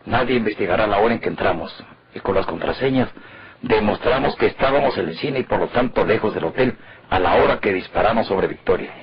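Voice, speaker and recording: An actor playing a cold, controlling Mexican killer in an old radionovela, terse and commanding. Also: male voice, on the low side, lively intonation, fairly quick, slightly rough, full character voice. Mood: calculating. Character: cold, controlling male murderer on the run. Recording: noisy, muffled vintage radio recording.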